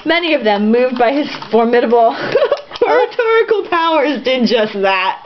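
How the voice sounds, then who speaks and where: A woman's voice: high-pitched, sing-song, normal speed. A woman reading a novel aloud in an irreverent, sarcastic, giggly way, stumbling over sentences and dropping into casual asides. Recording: dull lo-fi home recording, some noise.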